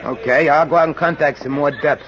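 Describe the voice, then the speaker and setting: A man's voice: a little high, fairly even intonation, brisk, a little hiss or hum, close to an everyday voice. An actor playing a defensive, pleading small-time male hustler in an old-time radio crime drama, whining in street slang. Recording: muffled old radio recording, crowd behind.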